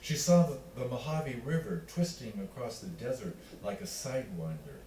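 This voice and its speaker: Male reader for literary fiction: relaxed, mellow timbre, measured phrasing, recorded at a distance in a reverberant room. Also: mid-range voice. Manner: measured, deliberate phrasing with pauses. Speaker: author reading own work. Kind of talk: literary reading of narrative fiction. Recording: roomy, distant miking with faint noise.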